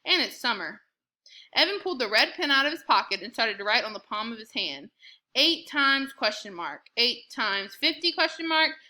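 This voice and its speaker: A woman reads a children's chapter book aloud as a storyteller. Her voice sits a little high and has a very bright, forward edge. The delivery is expressive and clearly scripted. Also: moderate pace. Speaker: read-aloud narrator.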